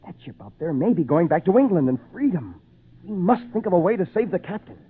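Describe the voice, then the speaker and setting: An earnest, dramatic castaway in an old radio adventure. His voice swoops widely and is slightly husky, through a tinny narrow recording. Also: sits high, very animated, fast, exaggerated voice. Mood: urgent. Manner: dramatic, urgent.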